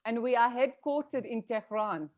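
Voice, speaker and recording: A woman's voice: on the high side, moderate pace. A woman from South Africa answering questions on a video call in an earnest, grateful way, with frequent restarts. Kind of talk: reflective panel discussion.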